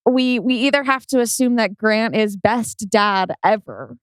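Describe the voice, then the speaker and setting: Clear-voiced woman, dry studio sound. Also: fairly high pitch, unhurried, no room sound. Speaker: podcast panelist giving script feedback. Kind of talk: casual critique.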